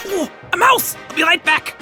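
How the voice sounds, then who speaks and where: Actress as exasperated-coaxing female alien, high pitch, very animated, exclamatory, comic space radio play, faint music. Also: moderate pace. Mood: excited. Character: bossy, lively female alien crew leader. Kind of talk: comic sci-fi audio theatre acting.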